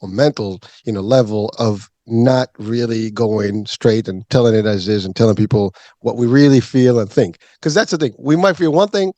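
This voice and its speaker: A man's voice: medium pitch, moderate pace, few pauses, warm tone, no echo. A man hosting a wellness interview show, speaking in rambling, hesitant runs with many fillers. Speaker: podcast host.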